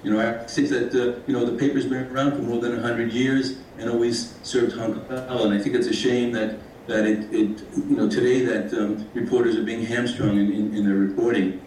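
A man speaking earnestly as a panelist, halting with many fillers. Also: medium pitch, flat delivery, darkish tone, slight background noise. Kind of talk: panel speech. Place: panel event hall. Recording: echoey hall from far off.

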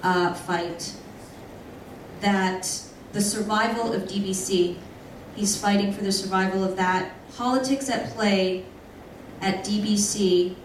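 Woman moderator, unhurried and measured; distant in a roomy hall. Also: medium pitch, fairly even intonation, a little hiss or hum. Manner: measured, hesitant. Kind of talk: panel moderation. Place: panel event hall. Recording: echoey hall from far off.

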